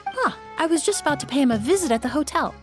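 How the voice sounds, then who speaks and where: An actress voicing a refined young woman perfumer in a video game, speaking in a measured, articulate way. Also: high voice, animated, faint music behind. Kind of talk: game voice acting.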